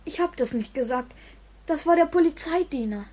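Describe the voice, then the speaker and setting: Young orphan boy: high, expressive, polite and earnest, vintage radio drama. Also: normal speed. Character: timid, earnest orphan boy, Oliver Twist. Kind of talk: 1950s German radio play acting.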